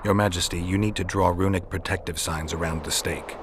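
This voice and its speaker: An actor playing a stoic, world-weary monster hunter in a video game, gravelly and matter-of-fact. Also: male voice, sits low, a little fast, noisy background, close to an everyday voice. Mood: calm, instructing. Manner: terse, flat, matter-of-fact.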